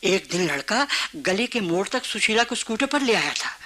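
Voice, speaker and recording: A woman's voice: medium pitch, big pitch swings, moderate pace, bright, forceful tone. A woman playing a kindly, concerned and gossipy elder neighbour in a Hindi radio play, chatty and confiding. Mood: confiding, worried.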